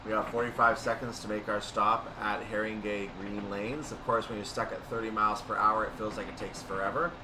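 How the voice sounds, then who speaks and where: A man's voice: lowish voice, fairly even intonation, brisk, hardly any pauses. A man giving play-by-play commentary on a train simulator game, narrating his own actions in announcer-like phrases. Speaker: video game streamer. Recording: distant mic, loud vehicle-like background noise.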